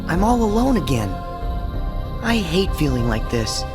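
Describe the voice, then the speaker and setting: An earnest teenage boy hero, high lively voice, slow deliberate delivery, resolute, reverberant game audio with music. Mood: wistful. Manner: earnest, resolute, quietly pained. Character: earnest spiky-haired teenage boy hero, stubborn and kind. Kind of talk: game cutscene voice acting.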